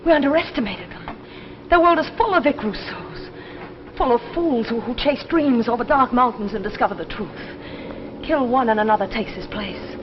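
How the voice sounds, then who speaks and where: An actress plays an alien woman agent in an old 1950s TV drama, speaking in a fairly high, urgent voice. She pleads earnestly and emphatically for her people. The sound is muffled under clear hiss and noise. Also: moderate pace, close to an everyday voice. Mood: urgent, insistent. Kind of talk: 1950s television drama acting. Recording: muffled, noisy 1950s TV recording.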